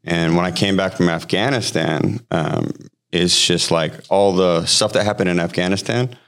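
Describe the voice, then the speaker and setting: Man, 30s, raised in Hawaii, very deep voice, halting conversational storytelling. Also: creaky, a bit bright. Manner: halting, frequent fillers and restarts. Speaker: podcast guest. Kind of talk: personal testimony, conversational storytelling.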